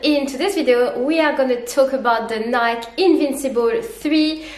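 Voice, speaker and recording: Congested, high-voiced young woman reviewing shoes. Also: moderate pace, darkish tone, reverberant. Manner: chatty, upbeat, explains step by step. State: congested from a cold. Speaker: running vlogger. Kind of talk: product review to camera. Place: living room at home, indoors.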